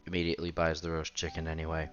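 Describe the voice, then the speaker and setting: A man's voice: rumbling low, completely flat delivery, racing, fairly bright tone, muffled recording. A man reading a visual novel aloud as narrator and lead, now and then stumbling over words and rereading them. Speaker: visual novel reader, channel host. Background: background music.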